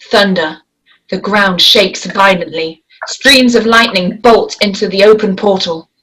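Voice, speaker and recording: A woman narrating stage directions at a table read, in a measured, descriptive way. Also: medium pitch, a bit bright, breathy edge, poor audio.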